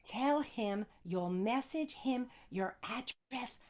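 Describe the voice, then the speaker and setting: A woman's voice: on the high side, expressive, slow, a little mellow. An actress playing a blunt, protective niece who chaperones her aunt in a stage comedy, speaking in a bossy way. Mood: exasperated, bossy.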